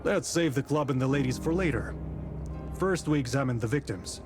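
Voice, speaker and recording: A courteous, authoritative detective from a period mystery game, voiced by a male actor. He speaks formally and with measure at a medium male pitch, over clearly audible music. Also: lively intonation, fairly quick, lightly acted. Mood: polite, relaxed. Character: composed, courteous, authoritative 19th-century male detective from the capital. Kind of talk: scripted visual novel voice acting.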